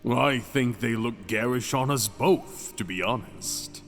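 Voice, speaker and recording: A man voicing an ancient, mad captive dragon king for an intimate ASMR roleplay, seductive, possessive and menacing, with teasing, drawn-out phrasing. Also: medium pitch. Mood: coaxing, brooding. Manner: languid, teasing, drawn-out phrasing with dramatic pauses. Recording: long cavernous reverb, music behind.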